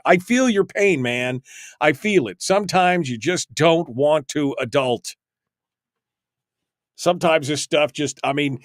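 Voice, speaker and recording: A man's voice: medium pitch, dry recording. A man hosting a freeform talk radio call-in show, rambling in an emphatic, self-mocking way on a close studio microphone.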